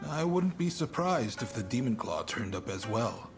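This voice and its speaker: An actor playing a composed samurai-era leader who gives out missions, in scripted game cutscene style. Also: male voice, medium pitch, big pitch swings, moderate pace, over music. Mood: businesslike.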